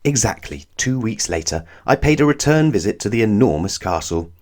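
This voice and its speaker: A man reads a children's storybook aloud. His voice sits on the low side and moves expressively up and down as he narrates. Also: sing-song, fairly quick, darkish tone. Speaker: storybook reader.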